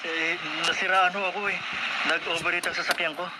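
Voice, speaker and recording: A man's voice: high voice, flat delivery, fairly quick, lightly acted. A man playing a flustered, deferential Filipino motorist with a hesitant, stammering manner in a radio drama. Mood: flustered, grateful. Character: flustered, grateful male motorist, deferential to police. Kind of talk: Philippine radio drama acting. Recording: very noisy roadside radio drama scene.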